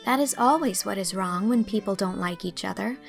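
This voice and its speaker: A woman performs a dreamy, earnest young heroine from a storybook. Her mid-pitched voice has noticeable creak and she speaks expressively, gently scolding. Faint music sits behind her. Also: fairly quick, close to an everyday voice. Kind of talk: audiobook character acting.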